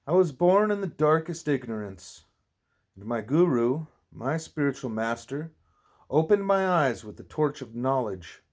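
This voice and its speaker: A man's voice: medium pitch, big pitch swings, unhurried. A man reading a spiritual teaching aloud like an audiobook, occasionally stumbling and repeating words. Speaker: audiobook reader.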